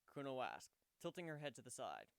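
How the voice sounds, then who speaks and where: Guy narrating a story dramatically, crisp voice, no room sound at all. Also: medium pitch, huge pitch swings, a little fast, no room sound. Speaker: narrator of fan fiction readings.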